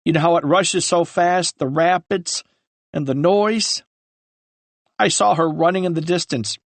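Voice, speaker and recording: A man voicing a guilt-ridden young man who confesses haltingly, on the edge of tears. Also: a little high, no echo. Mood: anguished. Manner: halting, breaking with remorse. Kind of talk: audiobook character reading.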